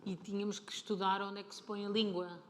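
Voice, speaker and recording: A woman's voice: mid-range voice, flat delivery, fast. A woman with a European Portuguese accent, speaking casually and explaining things as a guest in a live stage conversation. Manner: chatty, explanatory, informal. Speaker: interview guest, film and television producer. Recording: clear ambient noise of busy convention.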